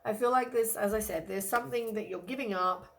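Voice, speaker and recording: A woman talks through a weekly tarot reading in a loose, rambling way, filling pauses with ums. Her voice is croaky from a cold. She sits in a middling pitch for a woman. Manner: relaxed, rambling, many ums and hedges. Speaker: tarot reader.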